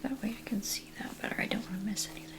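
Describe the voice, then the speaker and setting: A woman doing an ASMR role play as a caregiver, speaking softly and often in a whisper, in a gentle, unhurried, attentive manner. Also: mid-range voice. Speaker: ASMR role-player acting as lice checker. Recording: clear background noise.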